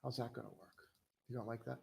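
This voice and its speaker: Chill young guy on a trading livestream, talks loose, says dude a lot, mid pitch. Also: fairly even intonation, a little fast, vocal fry, slightly rough. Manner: loose, joking, full of restarts and "dude". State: tired, low on coffee. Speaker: trading live stream host. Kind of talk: casual live-stream chat reading viewer comments.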